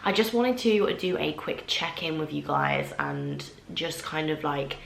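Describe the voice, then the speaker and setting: Young woman, stuffy nose, gravelly voice, low-pitched, chatty vlog, filler-heavy. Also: huge pitch swings, measured pace, slight background noise. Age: young adult. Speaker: vlogger. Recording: roomy echo.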